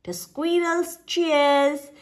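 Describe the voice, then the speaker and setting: A woman reading a children's picture book aloud expressively, pausing after short phrases. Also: high-pitched, flat delivery. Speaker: storybook reader.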